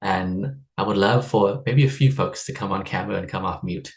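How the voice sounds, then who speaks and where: Low-voiced upbeat male workshop coach. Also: moderate pace. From United States (Seattle area). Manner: upbeat, chatty, laughs often, many fillers. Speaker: stress and wellbeing coach leading a workshop. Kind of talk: live online workshop presentation. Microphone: video call.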